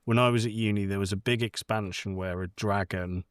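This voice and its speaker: A man with a slightly low voice chats as a podcast co-host. His banter is blunt and jokey, delivered informally, and he is recorded dry with little room sound. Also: steady tone, fairly quick, smooth, no room sound. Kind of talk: casual banter.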